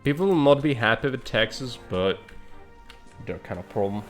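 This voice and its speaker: A man's voice: medium pitch, expressive, normal speed, faint music behind. A man streaming live, thinking aloud in dry, blunt remarks as he plans a city build. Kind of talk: live gameplay commentary.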